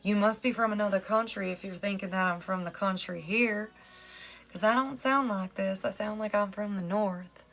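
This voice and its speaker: A woman with a New Jersey accent talks casually to her livestream viewers, joking and calling out names. Her voice is bright and forward. Faint music plays behind her. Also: medium pitch, fairly even intonation, moderate pace, gravelly. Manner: chatty and joking, greets viewers by name. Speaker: fitness livestreamer. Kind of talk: casual live-stream chat.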